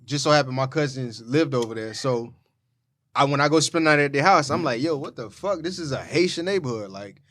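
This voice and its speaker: A man's voice: medium pitch, expressive, a little fast, fairly bright tone. Man explaining a point on a podcast. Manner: explaining, lecturing his friends. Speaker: podcast co-host. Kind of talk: casual group chat.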